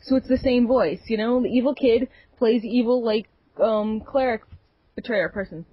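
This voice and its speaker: A woman with a slightly higher voice rambles sarcastically about a game movie, piling up fillers as she goes. The recording is dry and somewhat dull, without much room sound. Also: normal speed, husky, no echo. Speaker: podcast co-host. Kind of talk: casual gaming chat.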